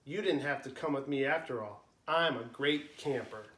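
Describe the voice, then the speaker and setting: A man's voice: mid-range voice, normal speed, a bit bright, echoey room, close to an everyday voice. A man voicing an eager, boastful young boy mouse in a storybook read-aloud.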